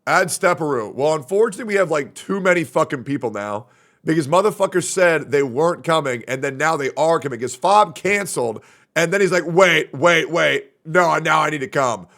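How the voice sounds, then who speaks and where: A man's voice: fairly high pitch, lively intonation, brisk, a bit bright. A man hosting a gaming stream, chatting on a voice call with crude, teasing banter. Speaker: streamer host.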